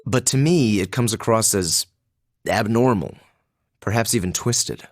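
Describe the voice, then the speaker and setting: A haughty young aristocratic student from a video game, with a fairly low voice and a close, dry sound. He is composed and perceptive, choosing his words formally and keeping an aloof distance. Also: no room sound. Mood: serious, critical. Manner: formal, measured, aloof diction. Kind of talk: English video game voice acting.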